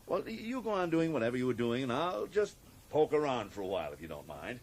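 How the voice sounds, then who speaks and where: An actor playing an evasive, jokey American government agent in a radio drama, hinting at secrets with sly, hedging emphasis. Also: male voice, mid-range voice, very animated, fast. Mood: coy, casual. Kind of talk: 1980s radio drama acting. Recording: noisy old radio broadcast recording.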